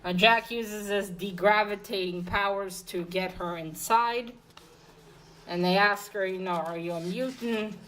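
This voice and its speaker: A woman's voice: medium pitch, measured pace, fairly bright tone, slight background noise, rough recording. A woman giving a casual, unscripted comic book review, rambling and hesitant, correcting herself as she goes, with blunt informal language.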